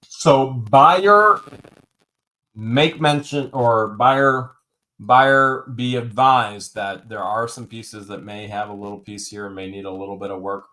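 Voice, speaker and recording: A man's voice: lowish voice, takes it slowly, sharp tone, low-quality recording. A man hosting a live-stream auction, calling out bids and reading viewer comments with dry jokes. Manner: wisecracking, repeats bids, drawls some phrases.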